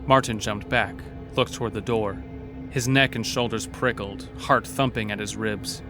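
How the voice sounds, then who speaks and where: A man's voice: on the low side, fairly even intonation, normal speed, over music. A man narrating a scripted horror story in a dramatic storytelling style.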